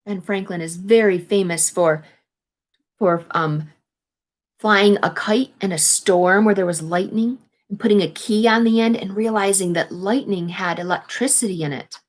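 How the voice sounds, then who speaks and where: A woman reading a children's picture book aloud in an expressive, instructive way, adding explanations for young listeners. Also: medium pitch, moderate pace. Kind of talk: read-aloud storytelling with explanatory asides to children.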